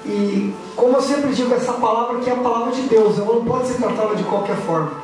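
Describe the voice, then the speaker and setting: Man, Brazilian accent, hoarse from a cold, very high voice, laid-back slangy preaching, echoey church from far off. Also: fast talker, darkish tone, faint music behind. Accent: Brazilian Portuguese. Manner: casual slangy address to young people. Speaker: youth preacher. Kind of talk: youth sermon. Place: church hall.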